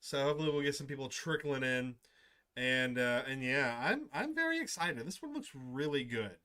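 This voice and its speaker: A man's voice: medium pitch, lively intonation, moderate pace, pushed and bright. A man streaming from home on a desk microphone, chatting casually and upbeat with his viewers, rambling with restarts. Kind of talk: casual livestream chat.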